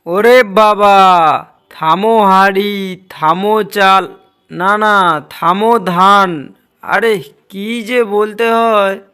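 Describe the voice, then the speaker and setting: A man's voice: sits high, fairly even intonation, extremely slow, pauses often, pushed and bright, clear, resonant tone, exaggerated voice. A man voicing a greedy, sneaking villager in a cartoon, talking to himself in exclamations. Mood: panicked. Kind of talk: cartoon dialogue.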